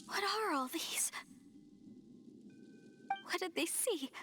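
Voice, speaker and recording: A devout, distraught young woman with a very high voice. Her delivery is slow, halting and full of fearful emotion, like dramatic game dialogue. Music is clearly audible underneath. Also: expressive, sharp tone, heavily acted. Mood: anxious, shaken.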